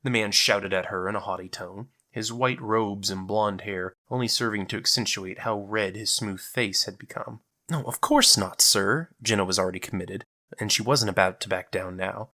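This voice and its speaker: A man's voice: on the low side, steady tone, moderate pace, hardly any pauses, pushed and bright. A man narrating a scripted dramatic story as its storyteller.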